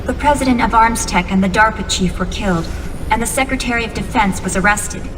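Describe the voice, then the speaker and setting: An actress playing an earnest, composed young female military officer in a video game, giving a measured briefing. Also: fairly high pitch, close to an everyday voice. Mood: serious, informative. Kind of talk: cinematic video game dialogue. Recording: long reverb, clear background noise.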